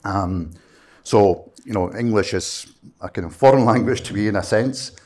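Deep-voiced Scottish man on a panel. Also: normal speed, darkish tone. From Scottish Western Isles. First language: Scottish Gaelic. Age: middle-aged. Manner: earnest, rambling with many fillers. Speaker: panel speaker. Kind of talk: panel discussion talk. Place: conference room with audience. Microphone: handheld mic.

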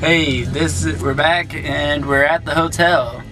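A man's voice: fairly high pitch, steady tone, slow pace, loud music behind the voice. Upbeat young man filming himself. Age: young adult. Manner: upbeat, joking tour-guide talk. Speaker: vlog host. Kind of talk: casual vlog narration. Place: van, parking lot, hotel. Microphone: handheld camera close to face.

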